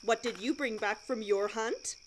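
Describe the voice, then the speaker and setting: A woman's voice: on the high side, normal speed, a little hiss or hum, lightly acted. An actress playing a relentlessly cheery, blithely macabre female scout leader in an audio drama, chirpy and instructing.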